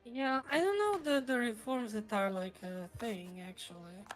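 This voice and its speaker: A woman from Croatia talks casually as she streams a strategy game, with faint music behind her. Her voice sits a bit high, and she rambles in a halting way with dry humour. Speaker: gaming streamer. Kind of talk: casual chat while playing a strategy game.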